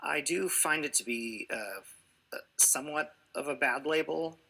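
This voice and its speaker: A man in his late thirties answers interview questions slowly and hesitantly, pausing often on "uh". His voice is bright but husky. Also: fairly high pitch, rough recording. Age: 30s. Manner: hesitant, many "uh" pauses.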